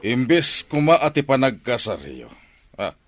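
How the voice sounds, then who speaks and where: A man's voice: mid-range voice, very animated, a little slow, a bit bright, faint music behind. A man playing a concerned, firm father questioning his grown daughter in audio drama dialogue. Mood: scolding, firm.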